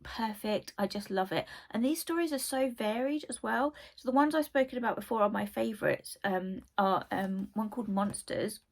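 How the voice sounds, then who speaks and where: Croaky, husky woman. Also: medium pitch. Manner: chatty, rambling, frequent ums and asides. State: croaky from a cold. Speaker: book channel host. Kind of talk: casual book review chat.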